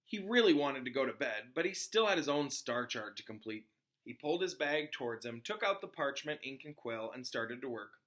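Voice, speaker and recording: A man reading a children's book aloud as a teacher, with expressive narration aimed at young listeners. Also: medium pitch, moderate pace. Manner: explains hard words in simpler terms.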